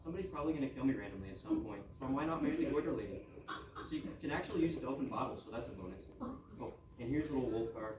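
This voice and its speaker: A man playing an apologetic, rambling palace guard in a comic stage reading, with a deadpan manner. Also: medium pitch, racing, close to an everyday voice. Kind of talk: comic stage readers theatre. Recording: echoey room, far mic, background noise.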